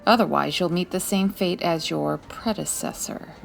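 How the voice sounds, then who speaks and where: A woman's voice: lowish voice, normal speed, gravelly, faint music behind. A woman playing a confident, wry 1940s private detective in a radio-style comedy drama, breezy and teasing. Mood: brisk, confident. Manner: breezy, teasing, self-assured.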